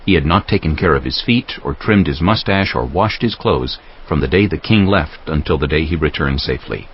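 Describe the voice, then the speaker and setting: A man's voice: very deep voice, lively intonation, brisk, husky, lo-fi sound. A man reading scripted Bible narration as a narrator.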